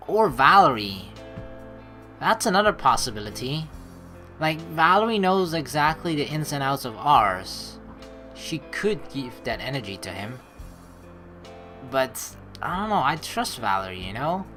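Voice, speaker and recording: A man's voice: mid-range voice, big pitch swings, measured pace, sharp tone, faint music behind. A man hosting a let's play, mixing read-aloud narration with rambling, profane casual commentary. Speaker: let's play host reading game text aloud.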